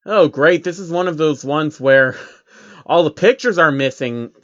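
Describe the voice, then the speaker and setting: A man's voice: on the high side, a little slow, muffled recording. A man hosting and narrating a comedic fan fiction reading, with sarcastic asides. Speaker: channel host and narrator.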